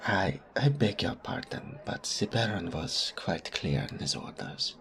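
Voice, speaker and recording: A man's voice: low voice, big pitch swings, measured pace, creaky, a bit bright, exaggerated voice. A man playing a stuffy, haughty nobleman's agent in an audio roleplay, speaking in a formal, pompous, over-polite manner. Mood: indignant, dismissive. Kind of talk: audio roleplay drama acting.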